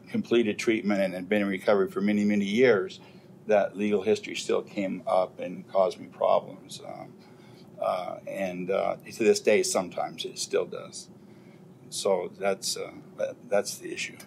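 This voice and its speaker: A man telling a discussion group about his past, speaking quietly with some hesitation. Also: low voice, normal speed, vocal fry, slight background noise. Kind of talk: personal testimony.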